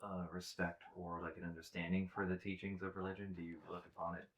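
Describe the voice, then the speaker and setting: A man with a very deep voice speaks as a podcast co-host. He talks casually and anecdotally, acting out bits of quoted exchanges and swearing offhandedly. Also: little pitch movement, fast talker, muffled recording. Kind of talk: casual conversation.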